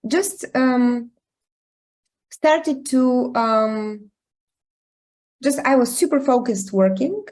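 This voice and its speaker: A woman from Bulgaria, speaking English as a guest in an online talk, telling a personal story thoughtfully and pausing often with ums. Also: fairly high pitch, a little mellow. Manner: reflective, thoughtful, with frequent pauses and ums. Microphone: video call.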